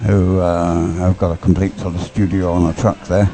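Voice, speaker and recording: A man's voice: low-pitched, level tone, normal speed, mellow, soft background music. A man giving unscripted live sports commentary in a hesitant, rambling, stop-start way.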